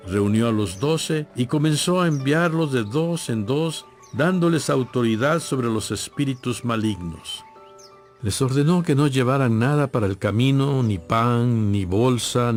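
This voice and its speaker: A man narrating a dramatized audio Bible from a script in solemn, clearly phrased storytelling. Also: mid-range voice.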